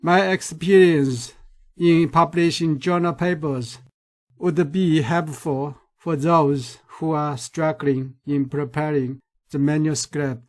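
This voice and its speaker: Chinese-accented male researcher, lecture voice-over, unhurried and careful, slightly raised pitch. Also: fairly even intonation. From China. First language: Mandarin Chinese. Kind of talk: recorded lecture.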